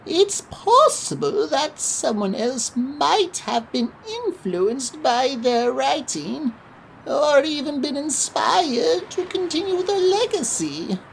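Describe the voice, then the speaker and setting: Elderly female librarian for audio drama, acted by a woman: high-pitched, unhurried, cautious and knowing. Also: very animated, vocal fry, slight background noise, exaggerated voice, rough recording. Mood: hesitant, intrigued.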